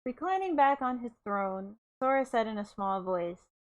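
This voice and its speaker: A woman with a high voice hosts a casual livestream readalong. She is playful and giggly, moving easily between reading a story aloud and chatting. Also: expressive, normal speed. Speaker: streamer reading a light novel aloud.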